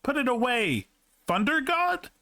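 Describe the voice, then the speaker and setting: A man chatting casually on a stream, reacting excitably and swearing casually. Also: very high-pitched, measured pace. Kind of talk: casual chat.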